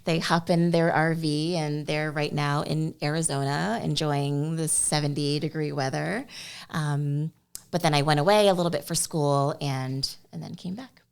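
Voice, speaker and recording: A woman from Connecticut, a surgeon and podcast guest, telling stories from her life in a relaxed, reflective way with light laughs. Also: sits low, moderate pace, gravelly, clean tone. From Connecticut, USA. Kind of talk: casual interview chat.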